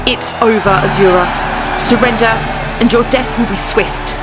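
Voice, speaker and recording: A woman's voice: a little high, normal speed, close to an everyday voice. Woman as urgent, commanding warrior queen. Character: resolute young warrior queen commanding troops. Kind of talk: dramatic fan dub acting. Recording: loud music and battle crowd behind.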